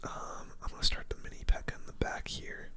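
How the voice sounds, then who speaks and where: A person giving casual, rambling game commentary in a breathy, unvoiced ASMR whisper, close to the microphone. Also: brisk, pushed and bright. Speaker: gaming video host.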